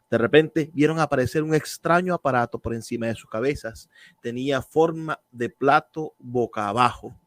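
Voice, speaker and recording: A man hosting a radio interview, calmly reading an excerpt aloud and asking questions. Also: medium pitch, dry recording. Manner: calm, reads an excerpt then asks questions. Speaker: radio show host, interviewer.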